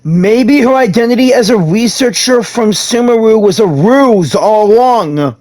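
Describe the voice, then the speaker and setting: A man's voice: high voice, lively intonation, a little slow, a little mellow, a little hiss or hum. A man playing through a video game, reading the dialogue choices aloud in a casual, offhand, lightly playful way. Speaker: gaming content creator. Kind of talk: reading dialogue options aloud during a playthrough.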